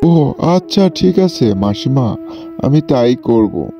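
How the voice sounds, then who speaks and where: A man's voice: medium pitch, big pitch swings, slow. A man playing an earnest, helpful young village lad in an amateur cartoon. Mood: agreeing. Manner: earnest, simple. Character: simple, helpful village lad seeking peace. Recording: dull audio, music behind.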